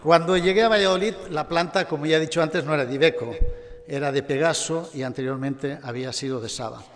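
An older man from Catalonia giving a formal award acceptance speech in a measured manner with touches of humor. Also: fairly high pitch, slight background noise. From Catalonia, Spain. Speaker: award recipient. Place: large gala hall. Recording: long reverb of a hall.

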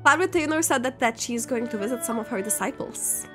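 A female gaming streamer with a high, very animated voice reacts casually as she plays. She gushes and echoes the characters' lines, with music clearly audible behind her. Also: a little fast. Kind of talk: casual playthrough reaction commentary.

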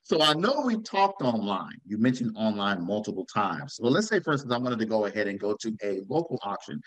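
Animated male interviewer. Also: fairly low pitch, a little fast, no room sound, poor audio. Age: middle-aged. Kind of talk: conversational questioning. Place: indoor room on a video call.

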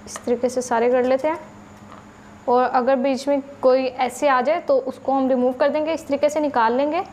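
A woman's voice: sits high, steady tone, moderate pace, a little mellow, a little hiss or hum. A woman hosting a home cooking channel with a Pakistani Urdu accent, patiently explaining each recipe step to her viewers.

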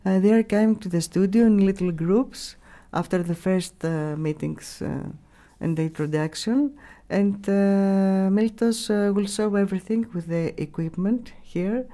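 A middle-aged woman with a Greek accent, giving halting, unscripted interview answers as she searches for words. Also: mid-range voice, mellow. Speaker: radio station staff member, interviewee. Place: small room, radio studio. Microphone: studio mic on boom arm.

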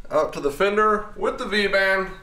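A man's voice: sits high, measured pace. A man in his thirties giving casual hands-on build commentary, thinking aloud informally as he works in a garage workshop. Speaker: car builder and vlog host. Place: metal-walled garage workshop, indoors. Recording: loud steady shop ventilation noise.

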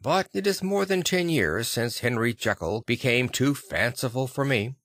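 A man's voice: mid-range voice, lively intonation, normal speed, fairly bright tone, no echo, studio quality. Man acting a hearty, theatrical old doctor. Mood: disapproving. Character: hearty, boisterous, theatrical older gentleman doctor.

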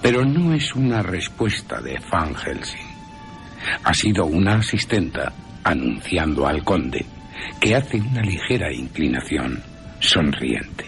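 A man narrating a dramatic scripted radio story in a theatrical, deliberate manner, with wry asides. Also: deep voice, sing-song, vocal fry, fairly bright tone, faint music behind.

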